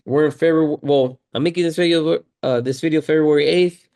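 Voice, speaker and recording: Slightly hoarse man giving a casual tutorial. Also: fairly high pitch, steady tone, brisk, darkish tone. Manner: conversational, repeats key points for emphasis. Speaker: video creator and car rental host. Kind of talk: casual tutorial talk to viewers.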